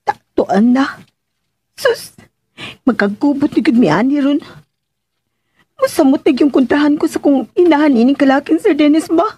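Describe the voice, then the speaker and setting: An actress with a Filipino accent playing a flustered young housemaid in a radio drama, nervous and exclamatory. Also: female voice, high-pitched, animated, normal speed, long pauses, a little mellow, dry recording.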